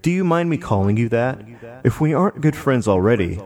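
A man voicing a calm, friendly, towering alien woman with measured, formal delivery. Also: medium pitch, natural voice. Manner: measured, formal story reading. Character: calm, friendly nine-foot alien woman, reassuring and wise.

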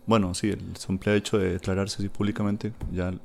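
A man's voice: lowish voice, level tone, fairly quick, creaky. A man, chatting casually about books on a podcast, full of fillers and tag questions. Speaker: podcast host discussing books. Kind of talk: casual literary chat.

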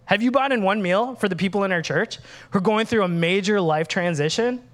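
A man's voice: high voice, brisk. A man, preaching a candid, humorous sermon as pastor. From Minnesota, USA. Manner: animated, candid, humorous, acts out dialogues.

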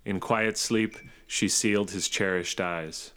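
A man narrating epic verse for an audio drama in a hushed, lyrical storytelling manner. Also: sits low, steady tone, takes it slowly, smooth.

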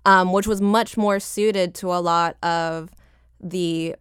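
A woman with a low, smooth and resonant voice talks casually as a musician being interviewed. She is lightly self-deprecating and jokey in her delivery. The sound is dry and close, with very little room. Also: steady tone, takes it slowly, no room sound. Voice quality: lower-pitched voice. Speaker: singer-songwriter guest.

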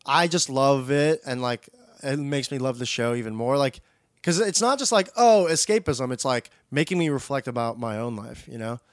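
A man with a medium-pitched voice co-hosts a casual discussion of an animated show. He is informal and effusive, dropping slang as he goes. The sound is dry, with very little room on it. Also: moderate pace, no room sound. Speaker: podcast co-host.

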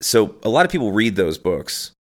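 A man's voice: on the low side, big pitch swings, moderate pace. A man hosting his own podcast, congested from allergies, rambling through a reflective solo talk and thinking aloud as he goes. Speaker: podcast host.

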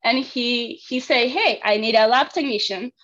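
A young Argentine woman with a Spanish accent speaks as an interview guest in a fairly high voice. Her English is halting, with non-native phrasing. The audio is somewhat dull and lo-fi. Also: a bit bright. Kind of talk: casual interview.

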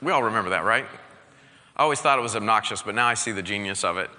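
Sharp-voiced man preaching in a hall. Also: on the low side, quick pace. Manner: exhorting, call-and-response with congregation. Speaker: pastor. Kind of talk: energetic preaching sermon.

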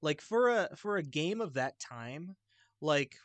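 A man chatting casually about video games in a rambling, halting, enthusiastic way. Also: fairly high pitch, lively intonation, no room sound. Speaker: video game podcast panelist.

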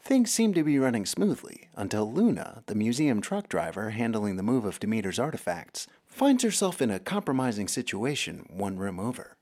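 A man's voice: lowish voice, very animated, normal speed, hardly any pauses, a little mellow. A man narrating a scripted audio drama in a dramatic announcer's manner.

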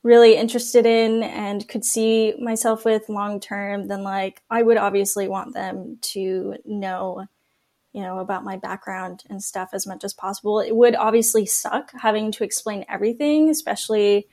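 A woman's voice: mid-range voice, little pitch movement, moderate pace, warm tone. A woman guest in a casual podcast chat, answering in a giggly, hesitant way.

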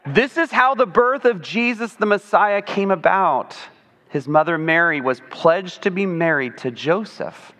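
A man with a high voice giving an explanatory sermon in a conversational, informal way, tossing in rhetorical questions. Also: expressive, unhurried, bright, forceful tone. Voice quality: high-pitched voice. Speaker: preacher. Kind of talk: sermon, explanatory Bible teaching. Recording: long hall reverb.

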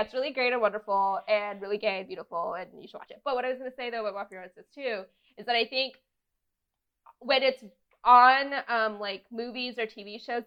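A woman's voice: on the high side, muffled recording. A woman guest in a casual podcast discussion, chatty, acting out imagined quotes and carefully qualifying her opinions.

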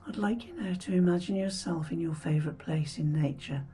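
Female meditation guide: soft and breathy, very mellow, soothing delivery with gentle pauses, music underneath. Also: lowish voice. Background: background music.

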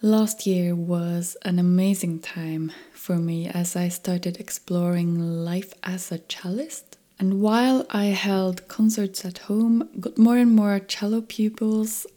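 A woman hosting a musicians' podcast, reading her introduction in a soft, measured, half-whispered way. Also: medium pitch, steady tone. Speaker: podcast host. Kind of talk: scripted podcast intro and interview. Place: home in Stockholm.

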